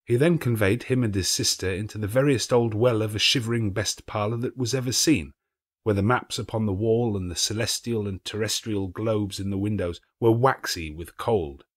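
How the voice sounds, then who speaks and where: A man reading a classic novel aloud as an expressive storyteller. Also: fairly low pitch, moderate pace, dry recording. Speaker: audiobook reader.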